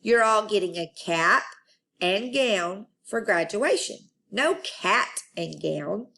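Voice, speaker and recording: A woman reading the part of a patient kindergarten teacher who explains things in a measured way, in a storybook. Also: mid-range voice, lively intonation, creaky, sharp tone, full character voice. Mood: patient, emphatic.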